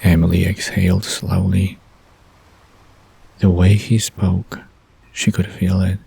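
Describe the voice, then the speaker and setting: A man narrating a scripted bedtime story in a soft, dreamy manner. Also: sits low, flat delivery, takes it slowly, creaky, husky.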